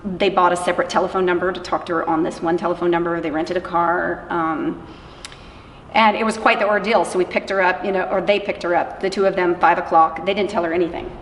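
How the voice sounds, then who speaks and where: Female interviewee, lowish voice, informal storyteller, distant roomy recording with faint noise. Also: fairly even intonation, brisk. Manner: informal, acts out quoted dialogue. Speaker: interviewee, anti-trafficking worker. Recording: echoey room from far off, faint noise.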